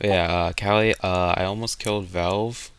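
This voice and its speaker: A man's voice: low-pitched, fairly even intonation, normal speed, clean tone, no echo. A man chatting casually while gaming, with a playful, teasing, joking way of talking over online voice chat. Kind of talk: casual chat during online game play.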